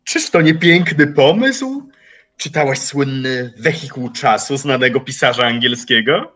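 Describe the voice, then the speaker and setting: Male actor as elderly philosopher-watchmaker for radio play: unhurried, formal, calmly mocking, with wide melodic swings over music. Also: mid-range voice. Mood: patronising, philosophical. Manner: deliberate, formal, philosophical phrasing. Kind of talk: audio drama acting, literary dialogue.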